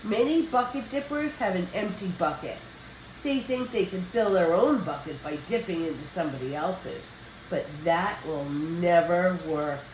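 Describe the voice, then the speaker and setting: An older woman reading a children's picture book aloud as a storyteller, with expressive, deliberate phrasing for young listeners. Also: on the low side. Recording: roomy echo with background noise.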